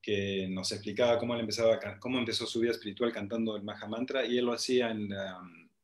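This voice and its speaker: A man's voice: lowish voice, fairly even intonation, quick pace. A man in his forties teaching a spiritual class on a video call, reading and explaining scripture to a group and asking them questions. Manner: explains with hand gestures, asks the group questions. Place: small room with plain wall, video call. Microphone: laptop webcam mic on a video call.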